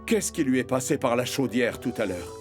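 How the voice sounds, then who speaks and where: A man narrating a children's story from a script in an expressive storytelling manner. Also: medium pitch, moderate pace, faint music behind.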